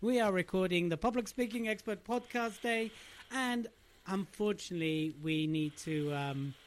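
A man's voice: sits high, normal speed, a little hiss or hum. A man co-hosting a podcast, teasing his partner and laughing often. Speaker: co-host. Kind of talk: casual banter.

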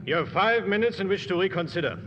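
Bossy german ship captain, highish voice, old radio play, echoey hall. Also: male voice, lively intonation, fast, crowd noise. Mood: stern, impatient. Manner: clipped, commanding, officious. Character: stern, pompous male German naval captain. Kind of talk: 1950s radio drama acting. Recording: muffled, noisy 1950s radio, hall reverb.

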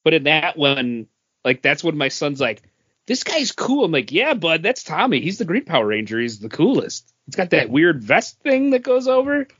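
Dude on a geek podcast, casual and into it, dry sound, bit muffled. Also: male voice, a little high, very animated, normal speed, fairly bright tone, slightly rough, dry recording. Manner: informal, enthusiastic, sweary. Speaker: podcast co-host. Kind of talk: casual pop-culture chat.

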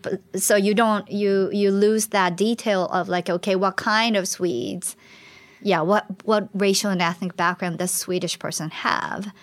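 A woman's voice: medium pitch, steady tone, measured pace, no echo. A woman researcher explaining her work in an interview, thoughtful and explanatory, often restarting her sentences. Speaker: researcher guest. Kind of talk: academic interview talk.

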